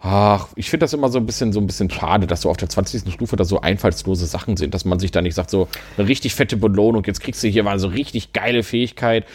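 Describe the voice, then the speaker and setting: A man's voice: fairly low pitch, fast, hardly any pauses, breathy edge. A man co-hosting a podcast, chatting casually about tabletop game rules, laughing often and using many fillers. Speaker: podcast co-host.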